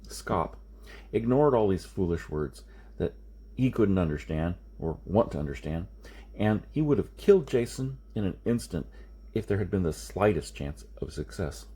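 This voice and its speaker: A man reads a science fiction story aloud in a lowish, husky voice. His delivery is very animated, with pitch that swings widely through the scripted narration. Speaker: amateur audiobook reader.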